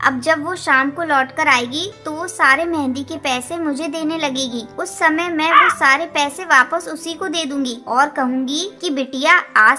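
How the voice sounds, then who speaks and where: A woman's voice: sits high, bright, forceful tone. A woman voicing a kindly, motherly female parrot in a cartoon, speaking in a caring, earnest way. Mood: cheerful, reassuring. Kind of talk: Hindi cartoon dialogue.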